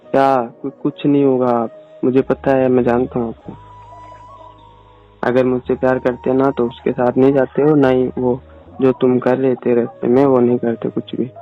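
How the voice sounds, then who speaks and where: Man acting jealous boyfriend, young, narrow pitch movement, halting, sullen phone drama, tinny line. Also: little pitch movement, lightly acted. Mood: jealous, hurt. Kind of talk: acted phone-call argument in casual speech. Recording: tinny phone-call sound under music.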